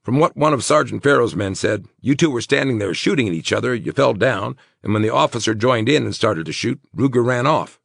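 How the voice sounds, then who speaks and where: A man's voice: mid-range voice, lively intonation, a little fast, gravelly, few pauses, a bit bright, no echo, studio quality, voice pushed up. A man voicing an anxious, officious small-town mayor in an audiobook, speaking urgently and emphatically. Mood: reassuring.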